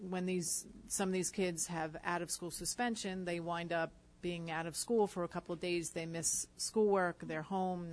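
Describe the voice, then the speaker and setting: A woman on the board asking a question at a public meeting, polite and hesitant with fillers. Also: fairly low pitch.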